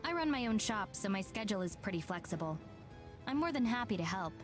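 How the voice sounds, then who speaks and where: A woman's voice: mid-range voice, sing-song, fast, close to an everyday voice. An actress playing a self-assured, easygoing woman guide in a visual novel, speaking in a matter-of-fact way. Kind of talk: scripted game dialogue. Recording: game audio under background music.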